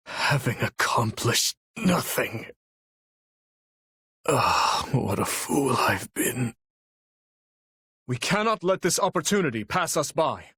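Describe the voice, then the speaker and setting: A man's voice: mid-range voice, bright, forceful tone, breathy edge, dry recording, clean studio sound, exaggerated voice. A man voicing a noble, earnest young knight-commander in a video game, speaking formally and solemnly with resolve. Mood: defeated, sorrowful. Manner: formal, measured, earnest delivery. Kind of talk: dramatic video game voice acting.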